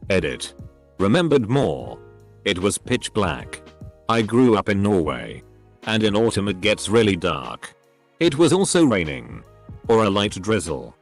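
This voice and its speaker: A man reading short online stories aloud as scripted narration, one after another in an even storytelling delivery. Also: lowish voice.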